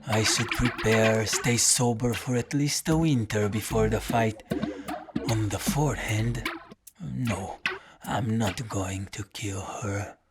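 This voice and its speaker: Low, slow, weary detective. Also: male voice. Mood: deadpan musing. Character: weary, battered, deadpan hard-drinking folk-hero detective. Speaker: lead character and narrator. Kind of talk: first-person audio drama narration and dialogue. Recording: music under the voice.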